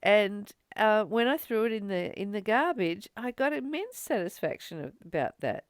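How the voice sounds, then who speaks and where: A woman giving an informal spiritual talk as a teacher, earnest, phrasing things with deliberate, emphatic pauses. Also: mid-range voice, animated, dry recording, studio quality. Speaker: spiritual teacher leading a daily course study.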